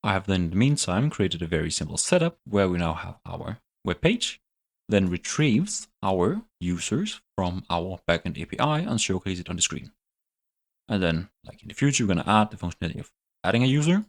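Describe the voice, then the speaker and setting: A man hosting a programming channel, walking through his project in a relaxed, unscripted tutorial and thinking aloud with many fillers. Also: low voice, a little fast, gravelly. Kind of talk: casual screen-recorded coding tutorial.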